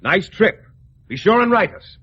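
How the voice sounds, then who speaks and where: A haughty, bossy painter in an old radio comedy with a dull, faintly hissy sound. His theatrical voice is high and swings widely as he gives imperious orders. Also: sing-song, unhurried. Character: haughty, bossy male painter with morbid humor. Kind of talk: 1940s-50s radio comedy acting. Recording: muffled, faintly noisy old radio broadcast.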